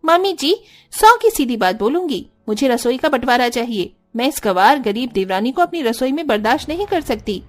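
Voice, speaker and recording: A woman's voice: sits high, very animated, moderate pace. A woman voicing a haughty, quarrelsome sister-in-law in a cartoon story, with a taunting, scornful manner. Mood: defiant. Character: haughty, spiteful elder sister-in-law, housewife. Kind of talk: exaggerated Hindi cartoon story acting.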